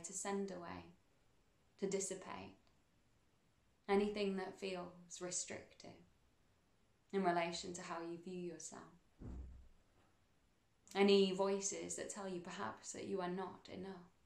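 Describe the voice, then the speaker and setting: A woman guiding a meditation in a soothing, unhurried manner, with measured phrases and pauses between them. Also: on the low side, vocal fry, roomy sound. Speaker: meditation guide. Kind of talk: guided meditation narration.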